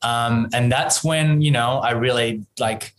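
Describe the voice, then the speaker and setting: A man's voice: medium pitch, measured pace. Aussie guy in his 30s, casual interview chat, rambly and restarting, bit of noise behind him. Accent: Australian. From Australia. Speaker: podcast guest, entrepreneur. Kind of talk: casual conversational storytelling.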